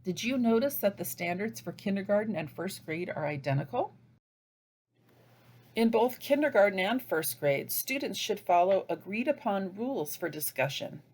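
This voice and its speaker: A woman delivering scripted instructional narration as a teacher trainer, with deliberate, didactic phrasing. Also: mid-range voice, animated, darkish tone.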